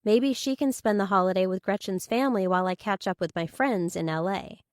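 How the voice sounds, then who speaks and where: A woman's voice: mid-range voice, fairly quick, no room sound, clean studio sound. A woman reading first-person fiction as an audiobook narrator.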